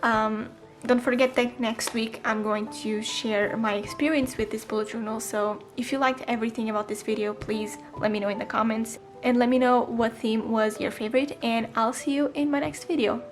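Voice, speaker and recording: Twenty yr old girl narrating her planner, bit high voice, lots of ums, quiet music under it. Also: steady tone, moderate pace. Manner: chatty, frequent ums and self-corrections. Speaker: planner vlogger. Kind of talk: casual voice-over flip-through.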